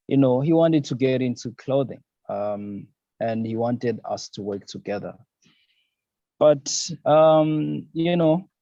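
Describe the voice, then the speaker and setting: Young Zambian-born designer guest, easygoing and filler-heavy, mellow dark tone, dry muffled lo-fi recording. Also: male voice, mid-range voice, dry recording. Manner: easygoing, many fillers and "you know, man". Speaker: fashion designer, podcast and radio host. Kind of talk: relaxed interview answers. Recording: dull lo-fi sound.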